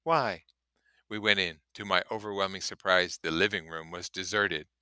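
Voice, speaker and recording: A man's voice: low voice, steady tone, fairly quick, sharp tone, clear, resonant tone, no room sound, clean studio sound. A man reading a story aloud in an audiobook style, with casual commentary of his own. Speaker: reader and commentator.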